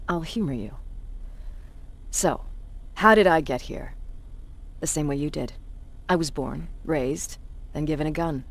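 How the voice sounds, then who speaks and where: Hard, commanding military woman. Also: low voice. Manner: commanding, measured, blunt, sardonic. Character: hard, cynical female resistance military commander, self-declared bully. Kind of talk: video game voice acting.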